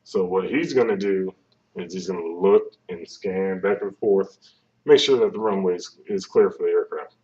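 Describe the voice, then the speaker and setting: A man's voice: low-pitched, moderate pace, mellow. A man giving an informative explainer talk in a plain-spoken, conversational way, step by step, recorded on a webcam microphone. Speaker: air traffic control presenter. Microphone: webcam microphone at some distance. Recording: distant, dull webcam microphone sound.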